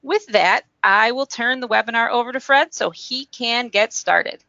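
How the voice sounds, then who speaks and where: A woman with a fairly high, bright voice and a gravelly edge, opening a webinar in an upbeat, scripted way. The sound is dry and lo-fi, like a computer mic on an online call. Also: normal speed, no room sound. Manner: upbeat, reads housekeeping notes. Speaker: webinar host. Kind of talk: scripted host introduction. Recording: dry, dull lo-fi webinar audio.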